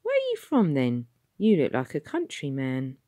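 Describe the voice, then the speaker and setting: Brisk polite warden guy, done by a lady reading, dry close sound. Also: low voice, huge pitch swings, warm tone, no echo. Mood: gentle. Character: breezy middle-aged shelter warden, brisk but gentle.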